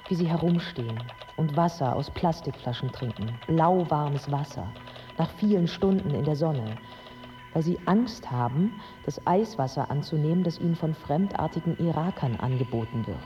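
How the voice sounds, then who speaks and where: An actress playing a proud, angry yet compassionate Iraqi woman in her twenties, in a measured radio drama monologue. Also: low voice, expressive. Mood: sad, compassionate. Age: 20s. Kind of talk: literary first-person radio drama monologue. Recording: dull sound with music underneath.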